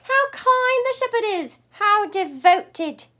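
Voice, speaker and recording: A woman's voice: extremely high pitch, expressive, slow, bright, forceful tone, pitched above the performer's own voice, heavily acted. A woman voicing an earnest, dreamy boy pig from a storybook in an exclamatory, dramatic way. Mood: delighted. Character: earnest, dreamy male pig who turns panicky.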